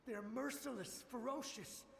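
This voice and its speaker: Brief: haunted game soldier begging for help, raised voice, long reverb. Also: male voice, very high voice, a little slow, vocal fry, a little hiss or hum, full character voice. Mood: frantic. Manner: frantic, pleading, urgent. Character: panicked young male soldier, desperate and haunted. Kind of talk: video game voice acting.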